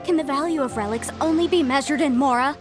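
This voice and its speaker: A woman's voice: sits high, fast, lightly acted. A woman voicing an earnest female historian who speaks in a sharply reproachful way. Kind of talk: game voice acting. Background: game music.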